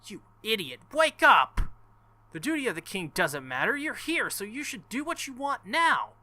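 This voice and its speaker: Man reading as a hot-headed, bright-voiced young man. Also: high voice, big pitch swings, normal speed, pitched above the performer's own voice, exaggerated voice. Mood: angry, urgent.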